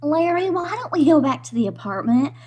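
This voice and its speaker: A woman's voice: sits high, sing-song, a little fast, darkish tone. A woman playing a nervous, easily spooked young wife in an old-time radio comedy, anxious and wryly pleading. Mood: uneasy, pleading.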